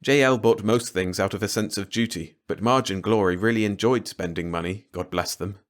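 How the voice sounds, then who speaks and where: A man's voice: fairly low pitch, normal speed. A man reading a short story aloud as scripted audiobook narration.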